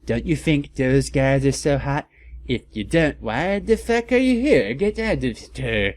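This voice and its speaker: A man's voice: medium pitch, big pitch swings, measured pace, no echo. A man voicing a bratty, foul-mouthed, belligerent teenage girl who writes fan fiction, in a comedic reading. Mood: gushing, hostile. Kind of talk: comedic dramatic reading of author's notes.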